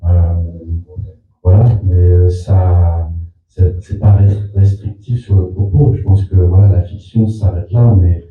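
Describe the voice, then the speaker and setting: A film director, a man, reflecting slowly in an interview with many pauses and repeated words. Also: low voice, fairly even intonation, faint music behind. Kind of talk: press interview. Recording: tinny echoey room from far off.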